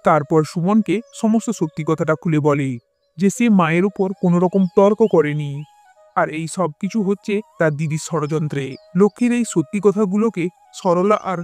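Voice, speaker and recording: A man's voice: high voice, normal speed, darkish tone. A man reading scripted narration for a cartoon folk-style tale. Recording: music bed behind the voice.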